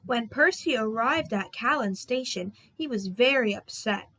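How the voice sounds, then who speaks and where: A woman's voice: fairly high pitch, expressive, measured pace, sharp tone. A woman narrating a scripted children's story as a read-aloud. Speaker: storybook reader.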